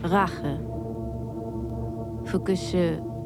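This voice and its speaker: An actress playing a solemn reader of chapter titles and verse in a radio drama, reciting deliberately. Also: female voice, fairly low pitch, fairly even intonation, over music.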